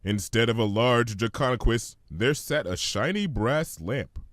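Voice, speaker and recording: A man reading a story aloud as scripted, expressive narration. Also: mid-range voice, measured pace, no echo, clean studio sound. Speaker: fan fiction reader.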